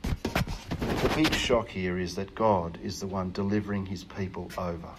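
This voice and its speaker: A man preaching a sermon in an earnest, emphatic way, often pausing with hesitations. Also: sits low, steady tone, noisy background.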